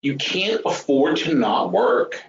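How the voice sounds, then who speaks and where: A man's voice: mid-range voice, animated, takes it slowly. Guy mid 50s explaining stuff on a webinar, blunt, repeats himself, kinda dull lo-fi audio w lots of room echo. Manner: emphatic, blunt, repeats phrases for emphasis; thinks aloud, asks rhetorical questions. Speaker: webinar host and trading educator. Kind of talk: informal explanatory financial lecture.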